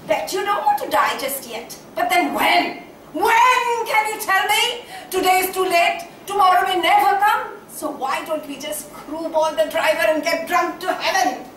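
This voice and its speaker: A woman's voice: very high voice, lively intonation, fairly bright tone, exaggerated voice. A woman playing an eccentric, passionate, half-mad character in a poetic, declamatory stage monologue. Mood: wild, taunting. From India. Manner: declamatory, poetic, dramatic drawn-out delivery. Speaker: stage actor. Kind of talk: theatrical one-act play monologue. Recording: echoey room, distant mic, faint noise.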